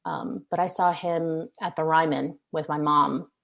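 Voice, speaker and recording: A woman's voice: deep voice, steady tone, measured pace, vocal fry, a bit bright, muffled recording. A young woman, a singer-songwriter, chatting casually in an interview, rambling with many fillers.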